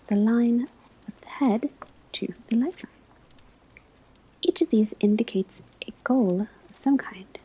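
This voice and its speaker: Woman doing a gentle witch teacher asmr lecture, slow whispery voice, soft and mellow. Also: fairly high pitch, heavily acted, rough recording. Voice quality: breathy, whispered. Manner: slow, measured, instructive. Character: female witch-school divination teacher, gentle and instructive. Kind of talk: ASMR classroom roleplay lecture.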